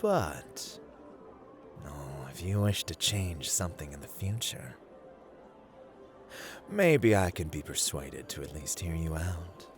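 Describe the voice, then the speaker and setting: Man playing a low, teasing god of luck. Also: very animated, soft background music, exaggerated voice. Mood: condescending, musing. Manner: teasing, drawling, dramatic pauses, chuckling condescension. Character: playful, arrogant god of luck, amused and dangerous gambling master. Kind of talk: ASMR roleplay, theatrical monologue to listener.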